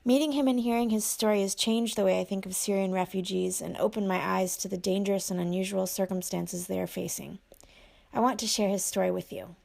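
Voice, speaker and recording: Woman narrating, gravelly edge. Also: mid-range voice, fairly even intonation, brisk, darkish tone. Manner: clear, read narration; short encouraging prompts. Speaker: host and interviewer. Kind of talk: scripted narration and casual interviewing.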